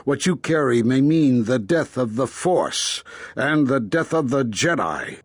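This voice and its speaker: An actor playing a stern, condemning older Jedi master in a video game, speaking gravely and deliberately with a husky voice. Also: male voice, mid-range voice, expressive, dry recording. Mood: stern, accusing. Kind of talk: dramatic video game dialogue.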